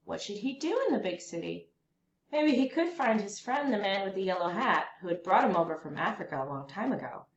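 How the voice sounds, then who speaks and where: A woman reading a children's picture book aloud as a storyteller, with expressive, deliberate phrasing for young listeners. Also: medium pitch, muffled recording. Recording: roomy echo, faint background noise.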